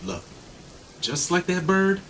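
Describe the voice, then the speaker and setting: A man's voice: high-pitched, lively intonation, slow pace. A man playing a playful, nervous, persistent late-night customer in a radio play. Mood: pleading, playful. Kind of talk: naturalistic radio play acting. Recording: loud rain and thunderstorm noise behind. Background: rain, thunder.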